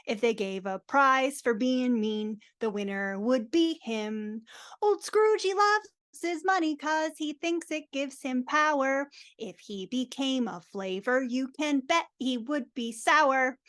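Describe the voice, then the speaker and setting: Woman as high-voiced gossipy townsfolk. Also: slow, a bit bright. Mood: scornful, gossipy. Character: gossipy townsfolk chorus mocking a miser. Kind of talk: spoken song lyrics in live reading. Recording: online video call track.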